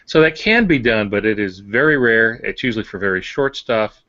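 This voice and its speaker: A man's voice: fairly low pitch, lively intonation, brisk, bright, forceful tone, dry recording, dull audio. An older American man co-hosting a writing podcast, casually explaining with examples and asides. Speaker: podcast co-host on fiction writing. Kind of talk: casual instructive discussion.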